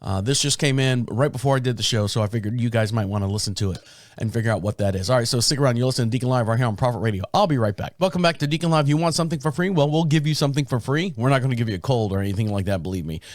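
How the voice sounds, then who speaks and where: Male podcast host, mid-pitched, a little raspy from a sore throat, loose and rambling, recorded dry. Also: rapid-fire, no room sound. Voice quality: deep. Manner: rambling, self-interrupting storyteller. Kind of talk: casual solo podcast talk. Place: small podcast studio. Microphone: studio microphone.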